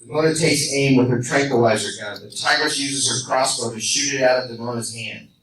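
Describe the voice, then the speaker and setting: A male narrator with a medium-pitched voice reads scripted action for a radio play. He sounds distant in an echoey room, with clear noise on the recording. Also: fairly quick, a bit bright.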